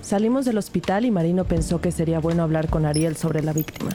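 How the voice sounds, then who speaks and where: A woman's voice: deep voice, steady tone, quick pace, over music, natural voice. An actress playing an earnest rookie detective who narrates her case in a scripted audio drama. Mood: serious, intent. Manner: serious, storytelling.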